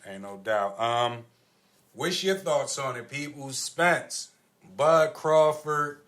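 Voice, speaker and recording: A man's voice: mid-range voice, takes it slowly, fairly bright tone. An American man hosting a podcast, talking casually about sports. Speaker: podcast host.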